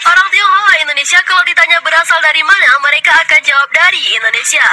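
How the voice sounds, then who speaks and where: A woman giving scripted documentary narration in a steady, formal delivery. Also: extremely high pitch, quick pace. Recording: loud music underneath.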